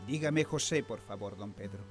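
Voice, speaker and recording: An actor playing a respectful, formal Spanish colonial naval officer in a radio drama. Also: male voice, fairly low pitch, normal speed, soft background music, natural voice. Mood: gentle, curious. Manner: formal, polite.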